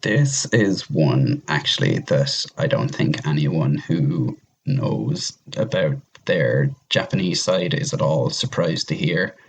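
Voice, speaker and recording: A man's voice: very deep voice, lively intonation, slow, hardly any pauses, slight background noise, poor audio. A man in a casual podcast discussion, rambling and emphatic, opinionated and given to mild swearing. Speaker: podcast panelist.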